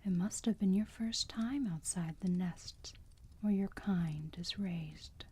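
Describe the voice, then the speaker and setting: A woman playing a motherly, teasing vampire who soothes a wounded enemy in a slow, breathy whisper, in an ASMR roleplay. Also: fairly low pitch, mellow, heavily acted. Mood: musing. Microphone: close microphone.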